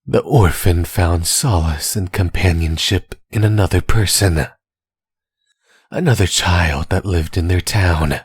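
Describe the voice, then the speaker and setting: A man playing a menacing, tormented villain spirit possessing someone's body, in a husky, brooding, deliberate monologue for an ASMR audio roleplay. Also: dry recording, clean studio sound, full character voice. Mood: brooding, controlled. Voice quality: husky, breathy low voice. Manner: slow, deliberate, brooding monologue with dramatic pauses.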